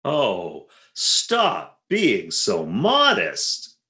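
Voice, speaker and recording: A man's voice: mid-range voice, wildly swooping pitch, extremely slow, background noise, full character voice. A man playing a jealous, dry, exasperated and boastful husband in a 1940s-style radio comedy, in an echoey room.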